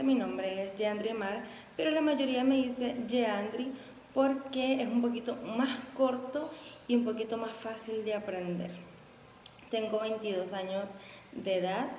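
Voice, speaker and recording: Young Venezuelan woman in her twenties, chatty and upbeat, mid-pitched voice, roomy echo with a faint hiss. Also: darkish tone. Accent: Venezuelan Spanish. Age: 20s. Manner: chatty and upbeat, with many fillers. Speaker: contest applicant. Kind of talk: casual self-introduction video. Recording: echoey room with faint background noise.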